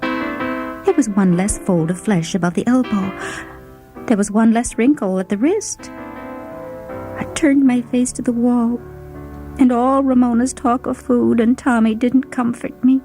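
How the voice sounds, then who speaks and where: A woman's voice: fairly high pitch, mellow, low-quality recording. A woman giving a dramatic first-person reading of a short story as its narrator. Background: background music.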